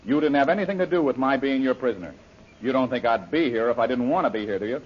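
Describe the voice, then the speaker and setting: A man's voice: mid-range voice, lively intonation, fast, natural voice. Brave, wry adventurer hero male actor, mid-range voice, forthright, vintage radio serial with dull sound. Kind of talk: old-time radio adventure serial acting. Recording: muffled, noisy old radio recording.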